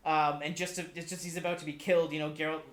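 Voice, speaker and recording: A man chatting casually on a podcast as a guest, explaining things in a halting, rambling way with repeated words. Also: fairly high pitch, flat delivery. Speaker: podcast guest pitching a film adaptation. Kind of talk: casual chat. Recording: echoey room.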